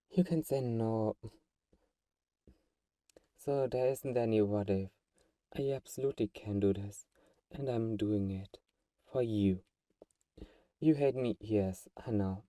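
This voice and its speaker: Male actor as a possessive vampire, very dark muted tone, dry sound, soft-spoken, coaxing, protective, intimate ASMR roleplay. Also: fairly low pitch, takes it slowly, pauses often, dry recording, far from the mic. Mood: soothing, coaxing.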